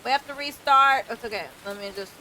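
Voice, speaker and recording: A woman game streamer doing a casual, expressive let's play, reacting and reading on-screen text aloud. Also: on the high side, fairly quick, slight background noise, poor audio. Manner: expressive, reactive, mild exclamations. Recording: loud rain and game ambience behind. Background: loud rain and game ambience.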